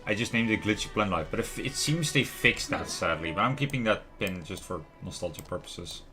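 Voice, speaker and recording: Man, low-pitched, bright tone, casual livestream game commentary, musing and self-questioning, background music. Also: lively intonation, a little fast. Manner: musing aloud, trailing off and questioning himself. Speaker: livestream host playing a video game. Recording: music playing behind the voice. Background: lo-fi music, keyboard typing and clicks.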